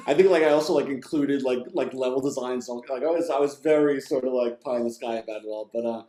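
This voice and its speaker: A man with a blended Bronx and New Jersey accent talks as a guest in a casual interview. His tone is mellow and on the dark side, and he rambles with some self-deprecation. The room echoes noticeably around him. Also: mid-range voice, a little fast. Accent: mixed Bronx and New Jersey. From New Jersey and Florida, United States. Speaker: interview guest, video game and TV writer. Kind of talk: casual chat. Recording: roomy, echoing sound.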